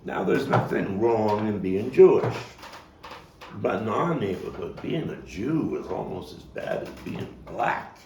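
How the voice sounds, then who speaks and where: A man performing a theatrical monologue as a coarse, wry, streetwise Brooklyn man looking back on his boyhood gang, telling it with dramatic pauses and emphatic swells. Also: lowish voice, expressive. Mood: earnest, gritty. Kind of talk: theatrical monologue, table read. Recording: roomy, distant mic, faint noise.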